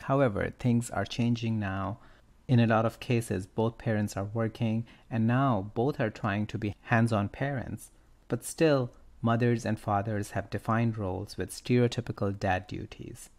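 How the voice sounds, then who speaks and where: A man hosting a podcast, telling a story and discussing it casually, with a gravelly creak in his voice. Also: on the low side, steady tone, unhurried, darkish tone. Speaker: podcast host.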